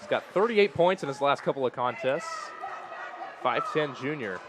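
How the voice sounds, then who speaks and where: A man's voice: mid-range voice, lively intonation, normal speed, a crowd in the background. A man giving live play-by-play commentary of a basketball game as the announcer. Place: indoor basketball gym during a game. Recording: loud gymnasium noise, bouncing basketballs.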